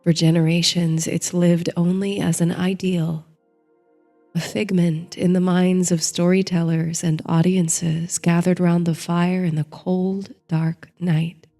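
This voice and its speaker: A woman narrating a guided bedtime meditation in a soothing, gentle, hushed manner, reading from a script. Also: on the low side, little pitch movement, takes it slowly. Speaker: host and narrator of a sleep story series. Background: soft music.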